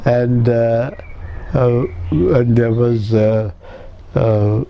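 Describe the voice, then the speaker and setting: A man's voice: medium pitch, steady tone, extremely slow, creaky, dull audio, a little hiss or hum. An older man from Sri Lanka, whose home language was Tamil, recalling his career in a life-story interview with many hesitations. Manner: reminiscing with many hesitations and "you see".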